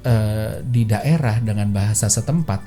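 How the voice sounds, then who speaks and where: A man's voice: on the low side, unhurried, dark tone, faint music behind. A man hosting a solo podcast, talking casually and chattily, with many fillers and quoted voices he acts out. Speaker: podcast host. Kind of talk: casual solo explainer talk.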